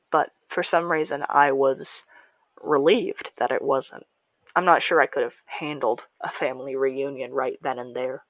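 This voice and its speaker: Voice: medium pitch, fairly bright tone, no room sound, lo-fi sound. A narrator telling a first-person story in a podcast-style audio drama, confiding and dramatic. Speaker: narrator in character.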